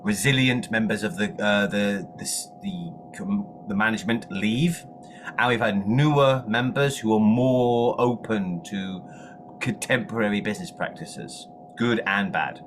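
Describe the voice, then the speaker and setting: A man chatting enthusiastically about video game news as a co-host, excitable and repeating words for emphasis. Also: fairly low pitch, measured pace, a little hiss or hum. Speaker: gaming show co-host.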